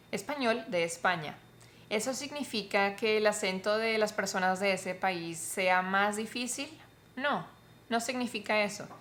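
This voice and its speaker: A woman's voice: mid-range voice, fairly bright tone. Colombian woman explaining to camera. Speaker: vlogger, Spanish teacher.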